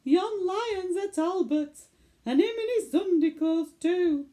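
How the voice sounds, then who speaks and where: A woman storyteller voicing an indignant, outraged mother with a Northern English accent, shrill and emphatic. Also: takes it slowly, mellow. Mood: alarmed.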